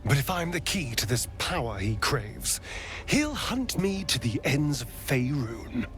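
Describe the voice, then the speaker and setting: An actor playing a proud, wounded vampire rogue in a video game, expressive and dramatic. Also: male voice, medium pitch, measured pace, soft background music. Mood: bitter resolve.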